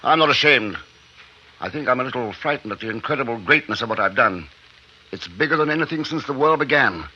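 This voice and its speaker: An actor playing a proud, secretive, driven scientist in a 1950s radio drama, with an intense, clipped delivery. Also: male voice, mid-range voice, lively intonation, a little fast, bright, forceful tone. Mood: obsessed, defiant. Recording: muffled, noisy 1950s radio recording, clicks.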